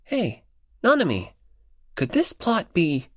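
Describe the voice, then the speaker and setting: A teenage high school boy, played by a woman, low in pitch and slow-paced, with lively swings in intonation. He sounds casual, a little teasing or awkward, as an audiobook character. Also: dry recording, clean studio sound, exaggerated voice.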